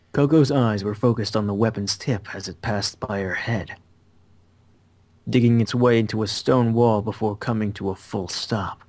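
A man's voice: on the low side, unhurried, darkish tone. A young adult man reading story narration aloud in an amateur style, on a headset in a small room.

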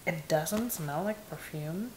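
A young woman from Indonesia reviewing perfumes in casual, candid chat, laughing at herself, filmed in a small bright room. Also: lowish voice, slow pace, reverberant, slight background noise. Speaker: beauty vlogger.

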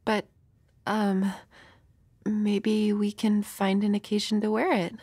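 A woman's voice: mid-range voice, completely flat delivery, takes it slowly, dark tone, no echo. A woman playing a nervous, dorky young woman with a crush in an intimate roleplay audio, hesitant, stammering and apologetic. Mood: bashful.

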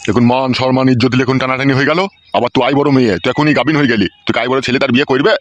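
A man's voice: mid-range voice, steady tone, very fast, dry recording, lo-fi sound, lightly acted. A man playing a stern, crude older village uncle in a comic cartoon, blunt and bossy. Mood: angry, scolding. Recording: music under the voice.

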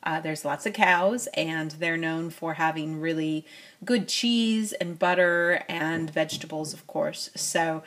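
Chatty woman with a lowish voice. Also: takes it slowly, a bit bright. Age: middle-aged. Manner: chatty, with frequent fillers. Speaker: toy review vlogger. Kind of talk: casual unboxing narration. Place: at a tabletop, off camera.

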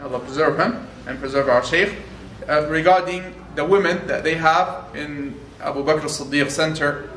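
Slow, deliberate male interpreter in an echoey room. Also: a little high, bright, forceful tone. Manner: slow and deliberate, with restarts and fillers as he rephrases. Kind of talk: consecutive English interpretation. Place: room seated at a desk. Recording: echoey room, mic at a distance, faint noise.

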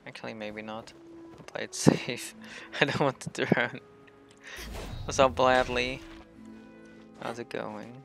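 A man streaming a game with casual, playful commentary. He talks slowly with plenty of vocal fry. Faint music plays behind him. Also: mid-range voice, fairly even intonation.

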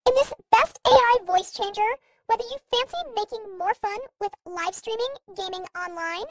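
A woman voicing a chirpy, girlish cartoon character through a pitched-up voice changer. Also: moderate pace, fairly bright tone. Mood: chirpy demo. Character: chirpy cartoon minion and young college girl. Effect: pitched-up voice changer filter. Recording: distant, noisy processed audio.

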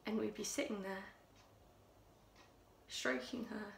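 A young woman playing an unsettling woman who tells a dark personal story in a hushed, intimate way. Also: on the high side, fairly even intonation, unhurried. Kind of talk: contemporary audition monologue. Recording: echoey room.

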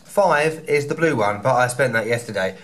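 A man's voice: medium pitch, animated, fairly bright tone, slight background noise. A man in his thirties with a London accent, chatting cheekily through a travel advice vlog from a small hotel room, camera held close. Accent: London English. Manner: chatty and cheeky, quick asides. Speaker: travel vlogger. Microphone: camera held close at arm's length.

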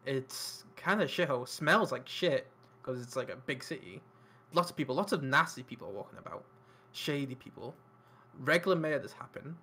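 A man's voice: mid-range voice, expressive, normal speed, pushed and bright, slightly rough. A man running a tabletop game as game master, talking informally and swearing casually.

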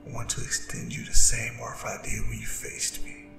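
A man playing a proud, vengeful and seductive demon king in an ASMR audio drama, speaking in a breathy, gravelly half-whisper with slow, grandiose delivery. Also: medium pitch, big pitch swings. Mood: proud, persuasive. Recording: roomy reverb, distant, music behind. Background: music.

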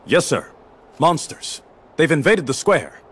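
An actor playing a decent, concerned soldier captain in a video game, respectful and military in manner. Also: male voice, a little high, big pitch swings, unhurried, fairly bright tone, a little hiss or hum. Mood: respectful urgency.

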